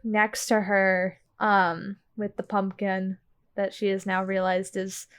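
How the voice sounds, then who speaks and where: A woman's voice: mid-range voice, little pitch movement, takes it slowly, a bit bright, clear, resonant tone. A woman playing a tabletop roleplaying game, casually improvising a description of what her character does. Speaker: player in a tabletop roleplaying podcast.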